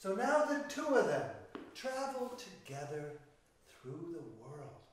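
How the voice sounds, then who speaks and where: A man telling a story to young children in an expressive, dramatic way, with pauses, speaking straight to his audience. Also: on the high side, a little hiss or hum. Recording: roomy, echoey, distant microphone.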